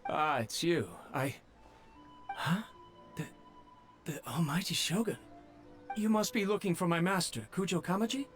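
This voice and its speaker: A man's voice: high-pitched, animated, unhurried, pauses often, fairly bright tone, faint music behind. An actor playing a nervous young male servant who stammers and speaks humbly. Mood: flustered.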